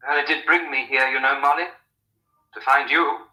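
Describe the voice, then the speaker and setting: An actor playing a gentle, stoic man on the run, understated and reassuring, in a vintage radio drama. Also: mid-range voice, fairly even intonation, normal speed, poor audio. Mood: calm. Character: calm, resigned male fugitive, gentle and stoic.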